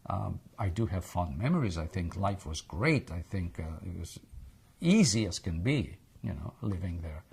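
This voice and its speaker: Older accented male interviewee, gravelly and husky, reflective, halting delivery. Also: deep voice, sing-song, a little mellow. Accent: foreign English accent. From Egypt. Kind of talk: oral history interview.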